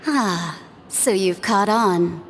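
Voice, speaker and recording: A woman voicing a calm, mysterious woman guide in a video game, speaking unhurried with a playful edge. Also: mid-range voice, slight background noise. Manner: unhurried, softly teasing. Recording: long hall reverb.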